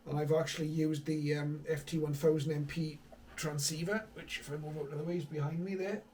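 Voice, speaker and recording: A man's voice: a little high, little pitch movement, brisk, darkish tone, recorded from a distance. A man presenting a hobby video in a casual, conversational explaining style, with some hesitant thinking aloud. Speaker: hobby radio vlogger, presenter. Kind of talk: casual tech explainer to camera.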